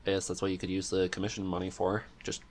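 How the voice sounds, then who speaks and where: Low-pitched man hosting a casual chat. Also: completely flat delivery, fast talker, a little mellow, a little hiss or hum. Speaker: podcast host.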